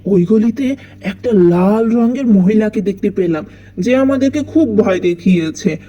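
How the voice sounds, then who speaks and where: A man's voice: high voice, a little slow, mellow. A man voicing a bold, curious young boy in a cartoon, defiant and matter-of-fact, on a dull lo-fi track. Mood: curious, unsettled. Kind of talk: children's cartoon acting.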